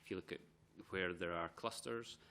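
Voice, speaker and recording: A man's voice: sits low, normal speed, pushed and bright, slight background noise. A man giving evidence to a committee hearing, often repeating words as he thinks. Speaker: committee witness. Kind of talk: formal testimony.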